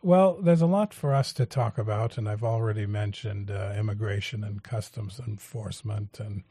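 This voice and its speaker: A male radio talk show host, interviewing guests in a measured, halting way with frequent fillers. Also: lowish voice, mellow, breathy edge, dry recording, studio quality. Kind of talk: public affairs interview.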